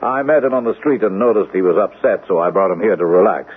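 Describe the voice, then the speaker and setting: An actor playing a courteous, probing male doctor in a radio mystery drama, measured and soothing with deliberate hesitations. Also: lowish voice, animated, slightly rough. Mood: courteous, reassuring. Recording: muffled, narrow-band, noisy old radio broadcast.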